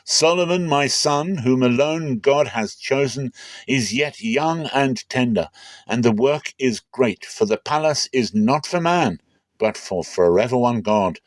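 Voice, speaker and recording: Guy voicing old bible king, solemn n fatherly but commanding, declaims slowly and deliberately, mid voice, no room sound. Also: mid-range voice, animated, no echo, lightly acted. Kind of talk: dramatic scripture storytelling.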